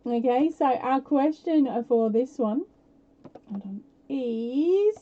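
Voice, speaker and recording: A chatty woman hosts a casual live stream, giving out prizes with plenty of fillers and a self-mocking tone. Her voice is a touch croaky, pitched a little high and very mellow. The audio is dull and lo-fi. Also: lively intonation, slow. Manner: chatty and self-deprecating, with frequent fillers and laughs. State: slightly croaky voice. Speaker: podcast host running a live prize quiz.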